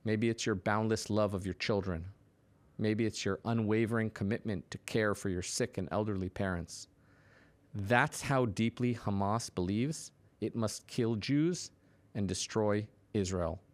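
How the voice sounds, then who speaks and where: Male political commentator, lowish register. Indignant, punchy scripted delivery with dramatic pauses. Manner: emphatic, punchy, dramatic pauses between short phrases.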